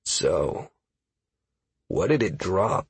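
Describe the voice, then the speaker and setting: A man's voice: low-pitched, big pitch swings, extremely slow, husky, dry recording, full character voice. A man voicing a weary, sarcastic adventurer who mutters and mumbles in a fantasy audiobook. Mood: curious, tired.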